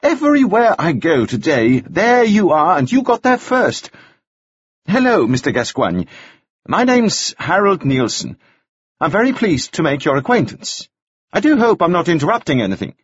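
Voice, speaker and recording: A man doing a breezy cheerful gentleman. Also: sits high, huge pitch swings, normal speed, slightly rough, no room sound, dull audio, pitched above the performer's own voice, exaggerated voice. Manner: genial, chatty.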